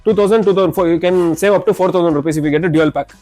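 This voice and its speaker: Fast-talking Indian shop salesman. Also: high-pitched, darkish tone, faint music behind. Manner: repeats words and phrases while explaining. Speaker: shop salesman presenting products. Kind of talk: informal product demonstration.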